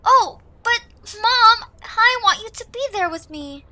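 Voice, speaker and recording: A woman's voice: very high-pitched, animated, slow pace, bright, forceful tone, exaggerated voice. A woman playing a sweet, anxious little creature child with a wide-eyed manner. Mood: plaintive.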